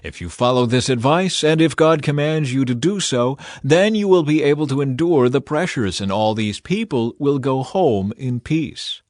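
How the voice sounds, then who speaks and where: A man's voice: mid-range voice, animated, normal speed, few pauses, a little mellow, no room sound, studio quality, voice pushed up, natural voice. A man voicing an earnest, wise older priest and father-in-law in a dramatised scripture reading, emphatic and counselling. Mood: concerned, advising.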